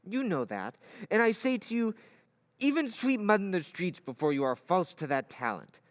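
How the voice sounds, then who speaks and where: An actor playing a gentle, earnest foreign professor with a European accent in an old-time radio drama, speaking formally and haltingly. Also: male voice, high-pitched, very animated, no room sound. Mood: earnest, urging.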